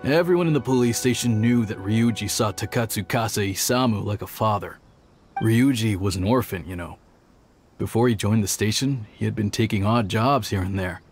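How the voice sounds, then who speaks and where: Older policeman for a game, played by a man: reflective, measured, mildly admonishing veteran, light music underneath. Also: fairly low pitch, lightly acted. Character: older male police officer, measured, sceptical of gossip. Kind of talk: video game voice acting, storytelling dialogue.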